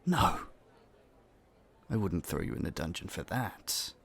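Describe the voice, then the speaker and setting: Low, creaky gentlemanly young prince acted by a man. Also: very animated, a little slow, heavily acted. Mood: flustered, playful. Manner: playful, polite, slightly flustered, hesitant stammers. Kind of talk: romantic audio roleplay, speaking to a listener. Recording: faint noise, background ambience.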